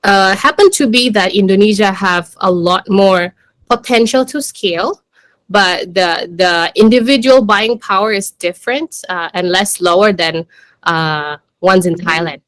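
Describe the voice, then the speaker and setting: A woman speaks as a startup manager presenting to a business audience. Her delivery is informal and conversational, with a hesitant flow full of small filler phrases. Her pitch sits in a middle range for a woman, and the sound is dry with little room echo. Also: a little slow, fairly bright tone. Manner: hesitant, conversational delivery with frequent "you know" and "like".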